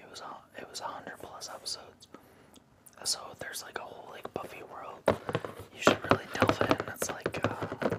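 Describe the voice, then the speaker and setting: A man in his thirties whispering casual, enthusiastic fan chat for ASMR, close to the mic in a room at home. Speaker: ASMR video creator showing a collection. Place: small living room indoors.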